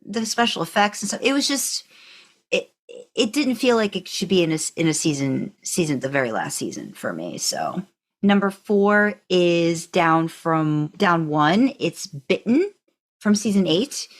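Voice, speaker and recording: Low-pitched woman in casual fan-podcast chat. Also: big pitch swings, a little slow. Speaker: podcast co-host.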